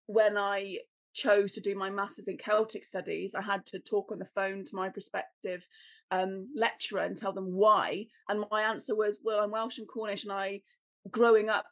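A chatty young woman from Cornwall with a gravelly, creaky voice at a middling pitch. She talks in a casual, self-deprecating way and laughs easily. She sounds like a guest on an interview podcast. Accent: English.

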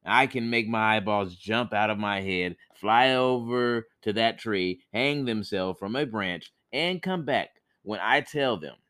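A man's voice: on the low side, measured pace, hardly any pauses, fairly bright tone. A man doing a boastful foolish trickster. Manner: boastful, later pleading and whiny. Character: boastful foolish man who loses his eyes.

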